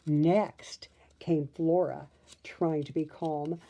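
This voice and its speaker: A woman reads a children's storybook at an unhurried pace. Her voice is very mellow and a little low, expressive in narration, with asides to the listener. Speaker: storybook reader.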